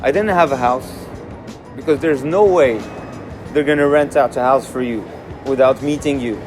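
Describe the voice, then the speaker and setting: A young man from Morocco answers questions in a relaxed, candid way. He leans on plenty of "uh"s as he thinks aloud. His voice sits at an ordinary middle pitch, with a clear music bed underneath. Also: moderate pace. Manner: candid, easygoing, uses many fillers. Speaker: interviewee. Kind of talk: casual interview answers.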